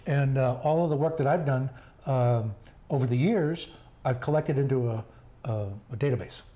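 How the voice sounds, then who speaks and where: An older man with a hoarse voice, talking slowly with many fillers in a casual family interview. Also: medium pitch, mellow. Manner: slow, halting, many fillers. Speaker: grandfather being interviewed.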